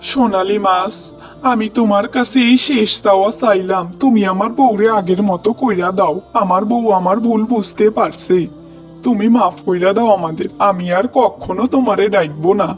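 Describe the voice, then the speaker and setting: High-voiced humble Bangladeshi village husband , acted by a man. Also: normal speed, soft background music, natural voice. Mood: pleading. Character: honest, humble, long-suffering village husband. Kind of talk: cartoon voice acting.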